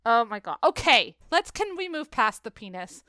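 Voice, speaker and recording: A woman hosting a podcast, talking casually about books and films in an animated, emphatic, argumentative way. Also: a little high, moderate pace, clear, resonant tone, dry recording, clean studio sound. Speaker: podcast host. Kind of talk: casual book and film discussion.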